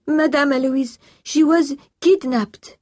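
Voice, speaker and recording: A woman's voice: high-pitched, steady tone, takes it slowly, darkish tone, no room sound, clean studio sound, pitched above the performer's own voice. A woman voicing an anxious, deferential housekeeper in an audiobook, flustered and fretful. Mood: distressed.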